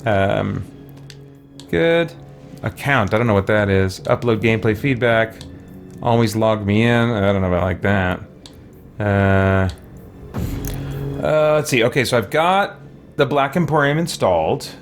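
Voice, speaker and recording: A man's voice: on the low side, unhurried, long pauses, soft background music. A man giving casual gaming commentary as a let's play host, rambling and thinking aloud over menus.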